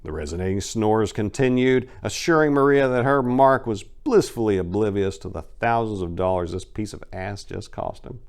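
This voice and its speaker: A man's voice: fairly low pitch, moderate pace, few pauses, darkish tone, smooth. A man narrating a crime short story as a dramatic reading, with theatrical, emphatic delivery.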